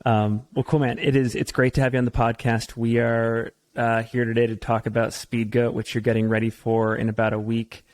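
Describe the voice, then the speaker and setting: A male podcast host leads a casual interview with a level, steady delivery and little rise or fall. His questions wander a bit before landing, in an easygoing way. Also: medium pitch, a little fast, hardly any pauses. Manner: easygoing, asks long rambling questions.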